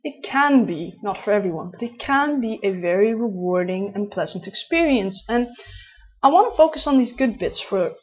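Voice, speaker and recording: Casting: Dutch woman in her late 20s, Dutch accent, husky tone, chatty, rambling vlog talk, muffled lo-fi sound. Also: mid-range voice, expressive, normal speed. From Netherlands. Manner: chatty, rambling, with many restarts. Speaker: vlogger. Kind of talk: casual talk to camera. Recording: dull lo-fi recording.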